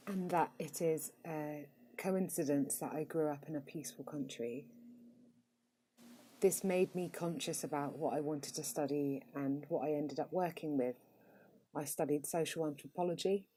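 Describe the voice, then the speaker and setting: A woman with a Received Pronunciation English accent hosting a podcast, talking casually and reading aloud in a flat, even way with frequent ums. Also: low voice, breathy edge, low-quality recording. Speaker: podcast host.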